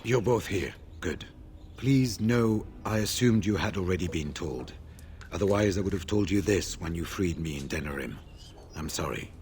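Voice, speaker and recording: Fantasy game warden, older, low and grave, weary but resolute, faint noise behind. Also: male voice, expressive, darkish tone. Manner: solemn, measured, formal. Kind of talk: scripted video game dialogue.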